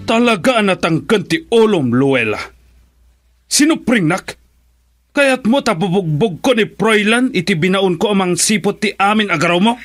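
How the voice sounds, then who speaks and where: A man's voice: high-pitched, animated, moderate pace, fairly bright tone, faint music behind. A Filipino man playing an angry, domineering father in a radio drama, scornful and threatening. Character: angry, domineering father opposing his daughter's marriage.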